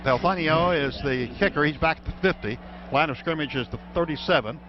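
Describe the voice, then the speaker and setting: A man's voice: fairly high pitch, a little fast. A man with an American accent calling play-by-play live as a football radio announcer. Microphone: broadcast booth microphone. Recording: dull radio broadcast, loud stadium background noise.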